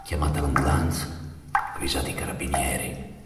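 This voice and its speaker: An actor playing a grave, brooding narrator of a migrant's journey in a theatrical monologue, speaking in halting, intense phrases. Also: male voice, rumbling low, fairly even intonation. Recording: echoey hall from far off, noisy.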